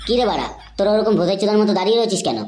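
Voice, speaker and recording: A woman's voice: medium pitch, animated, very fast, darkish tone, lightly acted. A woman voicing a brash, cocky young male drunkard in a comedy cartoon, blustering and whining. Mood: indignant. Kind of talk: Bengali comedy cartoon dubbing. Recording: echoey, clear background noise.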